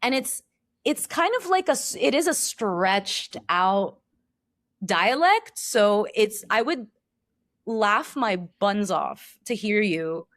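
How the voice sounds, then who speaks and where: A woman on a podcast talking in an animated, emphatic way, with a lot of "like.". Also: medium pitch, takes it slowly, no room sound. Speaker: perfumer guest. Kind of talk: casual podcast conversation.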